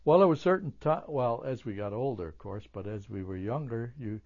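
An older man whose first language is German, recalling his childhood in an oral history interview, halting with many fillers and restarts. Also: medium pitch, darkish tone, lo-fi sound.

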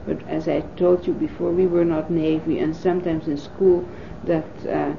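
A woman in her fifties from the Netherlands, a native Dutch speaker, recalling her life in an oral history interview with thoughtful pauses and fillers. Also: deep voice, level tone, gravelly, slight background noise. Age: 50s. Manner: thoughtful, pausing with frequent fillers. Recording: dull lo-fi 1980s tape recording.

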